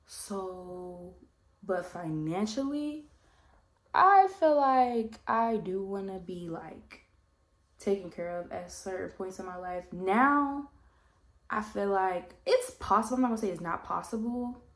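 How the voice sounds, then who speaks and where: A woman's voice: medium pitch, slow. A woman around twenty, a little congested, chatting casually and rambling while doing her makeup for a vlog Q&A. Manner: rambling, relaxed, heavy use of "like" and "you know what I mean". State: slightly congested, coming down with a cold. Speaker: vlogger. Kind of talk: casual get-ready-with-me Q&A chat.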